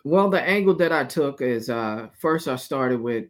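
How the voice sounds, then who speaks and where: A man in his forties, from Alabama, answers interview questions in a mid-range voice. He keeps an easygoing tone, often pausing on "uh" and chuckling lightly as he talks. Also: medium pitch, animated. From Alabama, USA. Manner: easygoing, laughs often, many "uh" pauses. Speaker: guest, cigar company owner. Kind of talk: casual interview.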